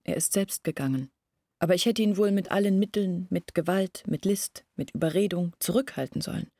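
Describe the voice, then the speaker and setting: An actress in a German radio drama playing an earnest, anguished young woman who confides her family's hardship in a deliberate, self-reproachful way. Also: fairly low pitch, dark tone, no echo.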